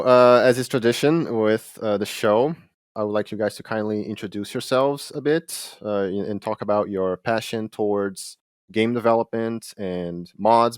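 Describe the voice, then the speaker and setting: Brazilian portuguese native guy hosting a podcast, lowish voice, dry close mic. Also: clean tone, no echo. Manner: friendly, enthusiastic, with fillers. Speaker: podcast host and game translator. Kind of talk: casual podcast interview.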